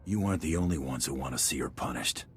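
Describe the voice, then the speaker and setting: A man's voice: rumbling low, fast talker, crowd noise. A man voicing a hard-bitten, greedy bounty hunter in a video game, terse and dry. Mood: menacing. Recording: faint noise behind game dialogue.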